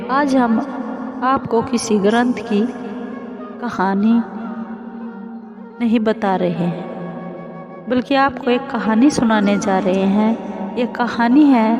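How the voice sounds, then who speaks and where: Female narrator for moral stories, mid-pitched, unhurried and measured, music bed underneath. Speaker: storyteller and channel host. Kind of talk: scripted devotional moral storytelling.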